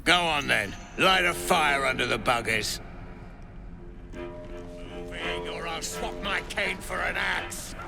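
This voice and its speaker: An actor playing a gruff, foul-mouthed dwarf slave overseer in a video game, with a gravelly, coarse, threatening delivery. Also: male voice, a little high, animated, slow pace, music in the background, exaggerated voice. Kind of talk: video game character acting.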